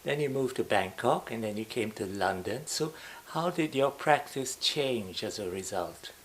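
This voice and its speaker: A man's voice: medium pitch, lively intonation, a little slow, fairly bright tone. A man interviewing, asking short leading questions. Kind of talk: oral history interview. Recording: faint hiss.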